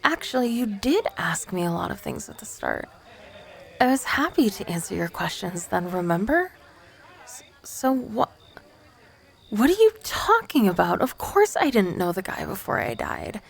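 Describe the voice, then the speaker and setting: A woman's voice: mid-range voice, big pitch swings, moderate pace, vocal fry, breathy edge, heavily acted. A woman acting as an awkward, nervous young female ghost in an ASMR roleplay, stammering, flustered and defensive.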